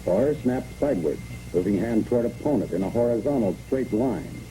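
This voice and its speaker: Dark-voiced American man narrating a training film. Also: on the low side, animated, fairly quick. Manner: clipped, imperative, step-by-step delivery. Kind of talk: scripted instructional military narration, 1960s film. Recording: noisy, muffled 1960s training film soundtrack.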